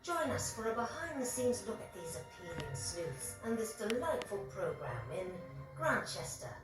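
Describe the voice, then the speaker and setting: A woman's promo narration comes through very echoey and far from the microphone. Her pitch moves in a lively way as she reads a scripted television announcement over loud music. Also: normal speed. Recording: echoey, distant TV capture with music.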